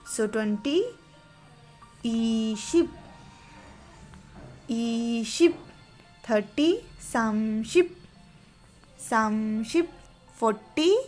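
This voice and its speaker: A woman's voice: fairly high pitch, expressive, darkish tone, faint music behind. A woman teaching a beginner language lesson, going patiently through counting drills, repeating each word and correcting her own slips. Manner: patient, repeats words slowly, corrects herself.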